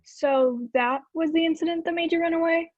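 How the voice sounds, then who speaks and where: A woman's voice: very high voice, fairly even intonation, a little fast. A young woman in an amateur stage play, acting a blunt, impatient teenage runaway with a dry, unimpressed manner. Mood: unimpressed, curious. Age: young adult. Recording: dull lo-fi sound.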